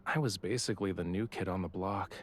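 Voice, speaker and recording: Man acting low-voiced, softly teasing young artist. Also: fairly quick, a little hiss or hum, natural voice. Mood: reflective, subdued. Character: young male artist, teasing, self-assured yet quietly vulnerable. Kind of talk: romance game voice acting.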